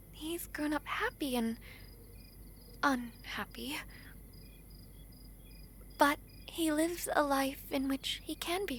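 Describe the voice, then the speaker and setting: A woman playing a gentle, earnest young princess in a romantic audio roleplay, speaking softly and formally with hesitant pauses. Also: high-pitched, a little hiss or hum.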